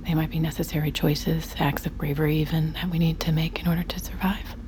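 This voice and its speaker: An actress playing a calm, calculating and persuasive survivor in an intimate audio drama. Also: female voice, sits low, fairly quick, background noise, natural voice. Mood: quietly persuasive.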